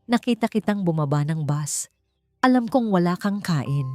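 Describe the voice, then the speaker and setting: A woman voicing a kindly, motherly older neighbor woman who speaks gently and soothingly in an audiobook drama. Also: on the low side, lively intonation, moderate pace, dry recording, studio quality, soft background music, natural voice. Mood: gentle, comforting.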